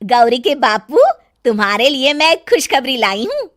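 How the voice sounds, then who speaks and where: A woman's voice: high-pitched, very animated, moderate pace. A woman voicing a greedy, pushy middle-aged village mother-in-law who wheedles and scolds in a cartoon. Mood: nosy, wheedling.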